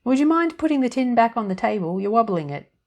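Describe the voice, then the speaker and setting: A woman's voice: on the high side, very animated, fast, darkish tone, pitched above the performer's own voice. A woman voicing a tiny, cheerful, scatterbrained older fairy godmother in a storybook reading, breezy and chatty.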